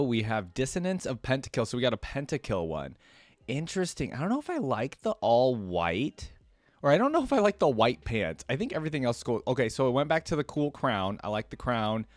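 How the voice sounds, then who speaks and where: A man's voice: mid-range voice, no room sound. Man rambling casually, close and dry. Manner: rambling, thinking aloud. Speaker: YouTube reaction host. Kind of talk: casual reaction commentary.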